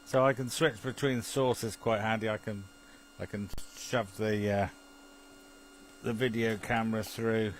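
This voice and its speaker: A man's voice: on the low side, fairly even intonation, measured pace, slight background noise, low-quality recording. A British man in a rambling, chuckly casual chat, often muttering to himself as he operates an amateur television link.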